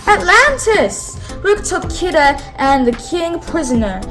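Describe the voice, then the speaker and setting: A woman reading a picture book aloud in a clear, teacherly way, pausing to have a child repeat key words. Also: extremely high pitch, sing-song, a bit bright, faint music behind. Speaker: read-aloud host.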